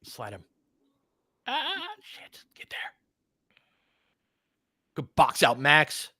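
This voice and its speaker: A man's voice: mid-range voice, huge pitch swings, extremely slow, sharp tone, no room sound, studio quality. A man doing casual live basketball commentary as a fan host, slangy and sweary, talking to the players.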